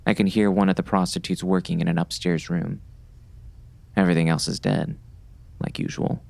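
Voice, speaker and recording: A man playing an uneasy gunman who narrates a western audiobook in a brooding, gravelly, dramatic way. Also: deep voice, steady tone, normal speed, darkish tone. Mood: tense, wary. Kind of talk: first-person audiobook narration, present tense.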